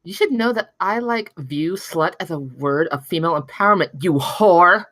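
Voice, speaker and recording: A woman's voice: fairly low pitch, expressive, moderate pace, fairly bright tone, no room sound. A woman at a live table read playing an indignant, flippant young woman at a party, with sassy delivery.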